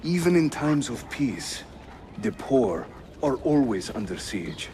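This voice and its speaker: A man's voice: medium pitch, very animated, slow pace, dark tone, slight background noise. An actor playing a principled male resistance leader with a wry, thoughtful manner in a dramatic game cutscene. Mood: concerned.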